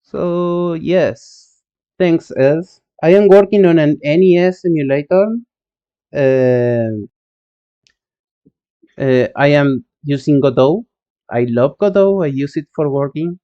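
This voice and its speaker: A man from Argentina with an Argentine Spanish accent, a native Spanish speaker live-streaming his coding and reading chat, talking in halting phrases with many fillers and restarts. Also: a little high, clear, resonant tone, dull audio.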